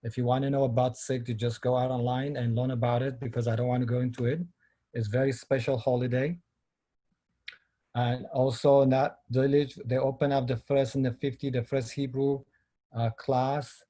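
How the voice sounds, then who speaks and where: A middle-aged man from Ethiopia speaking halting with many fillers, giving a personal talk on a video call. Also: medium pitch, little pitch movement, warm tone. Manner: halting non-native English with many fillers. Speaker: filmmaker and panel speaker.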